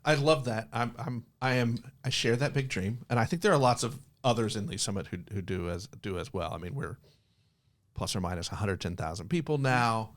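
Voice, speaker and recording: A man's voice: lowish voice, fairly quick. A man with an American accent chatting casually as the host of an interview show. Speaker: podcast host.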